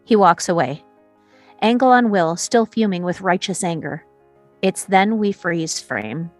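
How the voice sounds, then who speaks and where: A woman's voice: lowish voice, animated, measured pace, no echo, soft background music. Woman reading screenplay directions, dry sound. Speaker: stage directions reader. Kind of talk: scripted screenplay narration.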